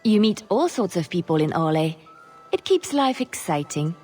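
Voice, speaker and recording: Husky, elegant, sly lady agent. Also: fairly low pitch, sing-song, moderate pace, warm tone, soft background music. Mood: sly politeness. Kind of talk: video game cutscene acting.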